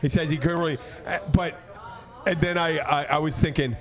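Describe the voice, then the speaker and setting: A man's voice: on the high side, fairly even intonation, moderate pace, a bit bright. A man hosting a podcast in casual banter, rambling along in a teasing, argumentative way. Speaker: podcast host.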